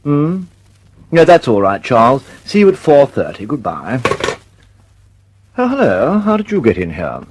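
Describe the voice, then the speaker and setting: A man's voice: mid-range voice, huge pitch swings, a little slow, long pauses, noisy background. An actor playing a flustered, condescending male government official with an upper-class English accent in a radio drama. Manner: dithering, breezy, condescending. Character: flustered, dismissive, chummy upper-class male British bureaucrat.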